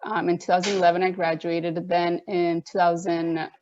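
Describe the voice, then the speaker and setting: A woman's voice: on the low side, little pitch movement, moderate pace, a little mellow, a little hiss or hum. Friendly woman teacher, muffled recording. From Oregon, United States. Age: 30s. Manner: friendly, conversational, plainly spoken. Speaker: elementary school teacher. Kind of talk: virtual open house presentation to parents.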